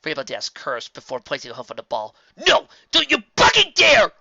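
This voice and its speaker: A woman voicing a brash, hot-tempered tomboy pony mare who shouts and curses. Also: extremely low pitch, fast, no echo. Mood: furious. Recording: dull dry lo-fi recording.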